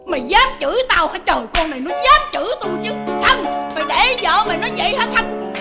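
A woman's voice: very high voice, animated, quick pace, over loud music. An actress playing a fierce, domineering older mother-in-law who scolds and threatens in a radio drama. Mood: raging. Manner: scolding, abusive, shouting threats.

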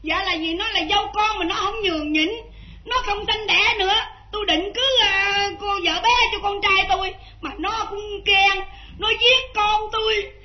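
Nasty melodrama mother-in-law, southern vietnamese accent, really high voice, scolds and wails, muffled old recording. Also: fairly even intonation, moderate pace. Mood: aggrieved, self-justifying. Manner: shrill, scolding, wailing, melodramatic. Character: spiteful, bullying Vietnamese mother-in-law, middle-aged or older. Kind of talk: Vietnamese stage melodrama acting. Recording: dull lo-fi old drama recording.

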